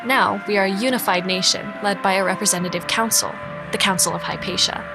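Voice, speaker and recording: An actress playing a proud council diplomat in a sci-fi audio drama, with formal, composed diction. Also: female voice, mid-range voice, steady tone, a little fast, natural voice. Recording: voice set over clear music.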